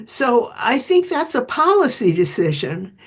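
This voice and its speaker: A woman's voice: on the high side, sing-song, measured pace, husky. Woman explaining as an interviewed expert. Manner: explanatory, acts out short imagined quotes. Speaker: interviewed author and electric grid expert. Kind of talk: conversational interview answers.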